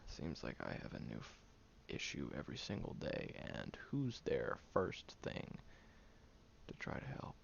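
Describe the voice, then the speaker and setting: A man playing a prickly, guarded young loner who grudgingly cares, in an intimate one-sided audio roleplay, sardonic and hesitant with breathy murmurs. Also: very deep voice, wildly swooping pitch, measured pace, exaggerated voice. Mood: reluctantly vulnerable. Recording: muffled, lo-fi, noticeable background hiss.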